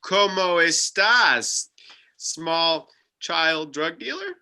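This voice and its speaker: A man's voice: a little high, expressive, drawn-out words, pushed and bright, full character voice. A man playing a nervous, sarcastic young paranormal investigator in a live script table read, sounding exasperated and dry.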